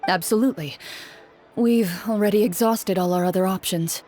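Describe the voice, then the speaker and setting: A woman's voice: medium pitch, mellow, a little hiss or hum, lightly acted. Woman as a composed, serious young game master. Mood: matter-of-fact. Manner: measured, formal, matter-of-fact. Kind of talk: video game voice acting. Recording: music bed behind the voice.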